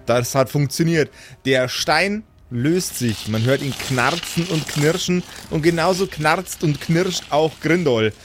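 A man narrating a tabletop adventure as game master, with deliberate, suspenseful storytelling. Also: fairly high pitch, over music.

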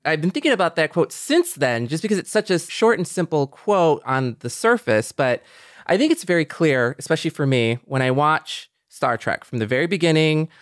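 A man's voice: fairly high pitch, very animated, normal speed, no echo, clean studio sound. A man co-hosting a podcast in reflective conversation, thinking aloud earnestly and repeating phrases for emphasis. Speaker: podcast co-host.

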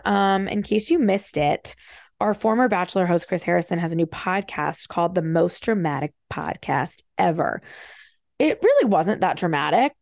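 A woman hosting a solo podcast, talking fast and casually with wry, animated asides. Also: mid-range voice, gravelly, no room sound, clean studio sound. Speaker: podcast host. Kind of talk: casual solo commentary, reading bios with asides.

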